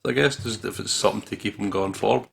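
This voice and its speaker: A man's voice: fairly low pitch, fast talker, gravelly, breathy edge. A man giving casual let's play banter as co-host, joking and a bit theatrical. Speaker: gaming video co-host.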